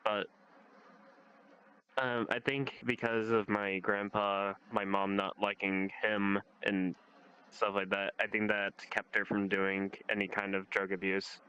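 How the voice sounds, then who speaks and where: A man in a casual online chat, speaking in halting, low-key phrases as he shares personal stories. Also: little pitch movement, sharp tone, clear, resonant tone, rough recording. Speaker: host.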